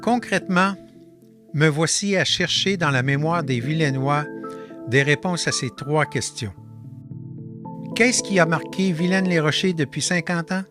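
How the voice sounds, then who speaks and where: A man with a Quebec French accent narrating a documentary about his artist residency in a calm, measured voice-over. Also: on the high side, music in the background.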